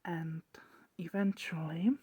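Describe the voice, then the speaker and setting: Weary old vampire man, played by a woman: brooding, poetic, breathy ASMR whispering, close and dry. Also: deep voice, steady tone, no echo, heavily acted. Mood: reflective, wondering. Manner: slow, hushed, halting.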